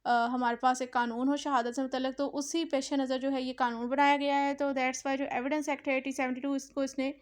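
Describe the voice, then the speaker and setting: A woman's voice: high-pitched, little pitch movement, a little fast, few pauses. A woman with a Pakistani accent lecturing on law, explaining step by step and mixing in English legal terms as she goes. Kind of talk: explanatory lecture, reading statutes then explaining.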